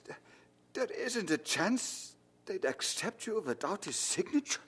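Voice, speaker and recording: High, husky, anxious young student. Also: male voice, big pitch swings, unhurried, a bit bright, exaggerated voice. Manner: hesitant, incredulous questioning. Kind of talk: radio drama acting.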